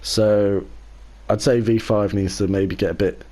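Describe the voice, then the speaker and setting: A man co-hosting a casual racing podcast, talking in a measured way and softening his opinions as he goes. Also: on the low side, fairly even intonation. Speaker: podcast co-host and league racer.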